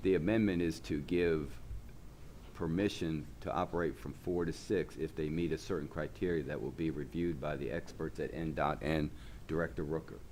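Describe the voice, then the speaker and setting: A man chairing a public commission meeting, speaking in a measured, deliberate way. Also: rumbling low, steady tone, a little mellow, slight background noise. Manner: measured, deliberate, directs the room. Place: large meeting room.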